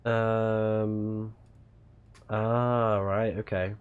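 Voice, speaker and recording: A man's voice: lowish voice, little pitch movement, extremely slow, clear, resonant tone, phone-quality sound. A man doing casual let's-play commentary on a livestream, often reading in-game text aloud and correcting himself when he stumbles. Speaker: livestreaming gamer and channel host.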